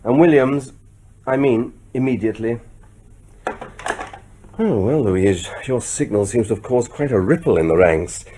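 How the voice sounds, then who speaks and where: An actor playing a wry, combative new British prime minister in a radio drama, with a husky voice and brisk, conversational authority. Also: male voice, medium pitch, expressive, background noise, close to an everyday voice. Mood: brisk, commanding.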